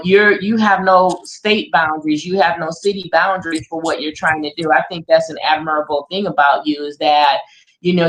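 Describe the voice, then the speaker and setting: A woman hosting an interview, praising her guest and asking follow-up questions in an encouraging way. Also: on the low side. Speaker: host. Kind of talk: interview host. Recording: roomy echo.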